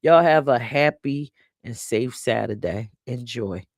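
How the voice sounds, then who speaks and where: A man's voice: mid-range voice, measured pace, vocal fry, dry recording, studio quality. A man hosting a live talk show, speaking in a casual, chatty sign-off style.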